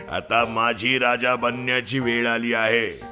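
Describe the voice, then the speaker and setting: A man's voice: mid-range voice, fairly even intonation, very slow, bright, forceful tone, soft background music, heavily acted. A man voicing a cruel, power-hungry monkey villain in a children's cartoon, menacing and gloating. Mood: gloating menace.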